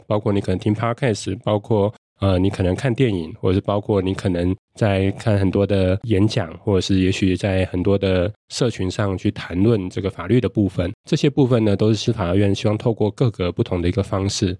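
A man's voice: fairly low pitch, dark tone, no room sound, clean studio sound. A man with a Taiwanese Mandarin accent, an interview guest explaining methodically and often rephrasing as he goes. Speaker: guest judge.

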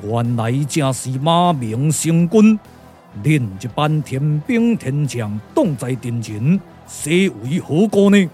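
A man's voice: on the high side, expressive, slow, dark tone, soft background music. A man voicing a dignified, defiant military strategist in a Taiwanese puppet radio drama. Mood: stern.

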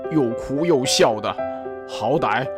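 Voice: mid-range voice, lively intonation, takes it slowly, over music. A voice actor playing Chen Gong, an old friend appearing in a vision, teasing wryly with a laugh.

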